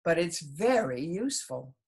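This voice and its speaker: A woman's voice: on the low side, very animated, a little slow, vocal fry, a little mellow, breathy edge, exaggerated voice. A woman voicing a polite, eager and cheeky young male elephant child in a children's storybook reading. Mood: matter-of-fact. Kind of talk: children's storybook read aloud.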